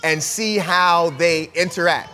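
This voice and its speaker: A man delivers a sermon in a relaxed, conversational manner, stacking rhetorical questions. His voice sits a little high for a man. The recording carries a long hall reverb. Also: takes it slowly, soft background music. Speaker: preacher.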